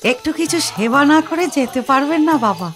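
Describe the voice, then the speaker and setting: A woman's voice: sits high, animated, normal speed. An actress playing a devout, humble village woman ascetic in an audio story, speaking in a reverent, pleading, doting way. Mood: humble, coaxing. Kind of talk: dramatised audio story acting. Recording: music behind the voice.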